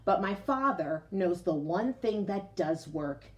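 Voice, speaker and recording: A woman reading a picture book aloud to children like a teacher, expressive, pausing to explain and put questions directly to young listeners. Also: fairly low pitch. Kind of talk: storybook read-aloud with explanatory asides.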